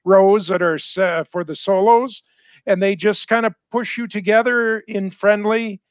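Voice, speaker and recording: An older man on a video call with a headset, chatting in a halting way full of pauses and restarts. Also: high-pitched, steady tone, no echo, dull audio. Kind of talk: casual chat. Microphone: headset mic on a video call.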